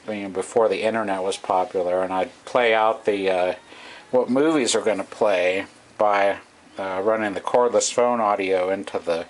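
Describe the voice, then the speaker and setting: A middle-aged man giving a casual, off-the-cuff hobbyist walkthrough, hesitant and thinking aloud, recorded on a camera's own microphone. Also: fairly low pitch. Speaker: hobbyist vintage radio restorer. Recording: noisy amateur camcorder recording.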